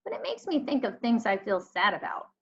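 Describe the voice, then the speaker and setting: A woman plays a subdued young schoolgirl from a storybook. She sounds sad, quiet and hesitant. The recording is a bit dull. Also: mid-range voice, big pitch swings, fairly quick, close to an everyday voice. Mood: sad, tearful.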